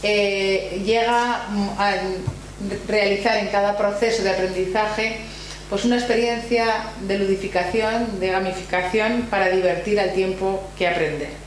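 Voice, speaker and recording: A woman's voice: medium pitch, fairly even intonation, a little slow. A woman with an accent from Spain formally introduces a guest speaker at an academic seminar, partly reading and sometimes hesitating. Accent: Spanish from Spain. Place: university library room. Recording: very echoey room, faint hum and noise.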